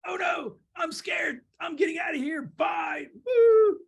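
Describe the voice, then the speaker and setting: Storybook fox, acted by a storyteller: cowardly, high and wailing, loud and frightened. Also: expressive, slow, creaky, exaggerated voice. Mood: panicked.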